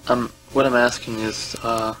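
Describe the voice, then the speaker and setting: A man in his twenties from Guyana, speaking haltingly and upset during an interview. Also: mid-range voice, little pitch movement, fairly bright tone, poor audio. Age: 20s. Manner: hesitant, halting, subdued. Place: small room indoors.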